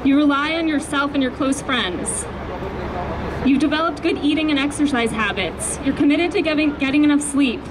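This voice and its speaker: A soft-voiced, high-pitched woman gives an earnest rally speech outdoors on a city street. She keeps the microphone close to her mouth and talks with small fillers and restarts. Steady street noise runs underneath her. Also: normal speed. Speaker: activist speaker at a rally. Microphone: handheld microphone held close. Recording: noisy outdoor street recording. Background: traffic and street noise.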